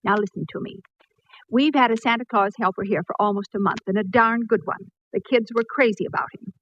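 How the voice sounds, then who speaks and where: A woman's voice: mid-range voice, fairly quick, highs cut off, a little hiss or hum, natural voice. An actress playing a harried, earnest woman department store executive in 1940s radio style. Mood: urgent pleading. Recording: band-limited old radio recording.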